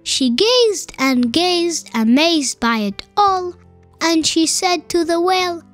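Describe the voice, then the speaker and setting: A woman narrating a children's picture book aloud in an expressive, deliberate storytelling style. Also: very high-pitched, dry recording. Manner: animated, unhurried, deliberate phrasing. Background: children's music.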